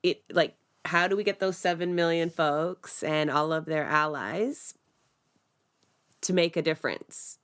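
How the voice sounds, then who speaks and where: A woman with a lowish voice hosting an interview, asking questions in a loose, conversational way. She thinks aloud as she talks, with fillers like "like" and "right?". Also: a little slow, fairly bright tone, clean tone. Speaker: podcast host.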